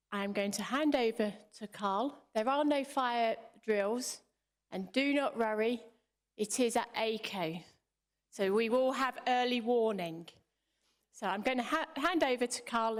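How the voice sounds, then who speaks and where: Higher-voiced woman welcoming a reverberant hall. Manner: brief, informal welcome. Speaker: chamber of commerce chief executive. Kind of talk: welcoming remarks at an event. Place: theatre with audience. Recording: reverberant theatre.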